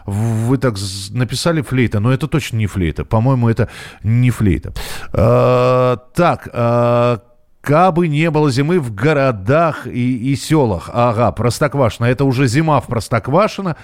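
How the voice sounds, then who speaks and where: A man's voice: medium pitch, normal speed, darkish tone. A man hosting a casual live radio phone-in, talking in a hesitant way as if thinking aloud. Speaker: radio host.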